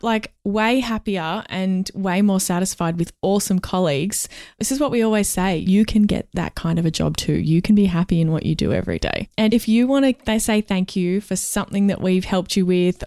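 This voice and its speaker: A woman co-hosting a casual career podcast, upbeat and quick to laugh. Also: mid-range voice, a little mellow, no room sound, clean studio sound. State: a bit under the weather. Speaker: podcast co-host, HR and recruitment professional.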